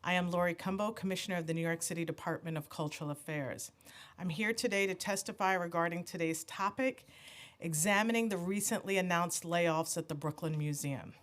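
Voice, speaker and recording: A woman gives formal testimony to a council committee in a slightly low voice. She reads her statement in a measured, official way. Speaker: city agency commissioner. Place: council hearing room.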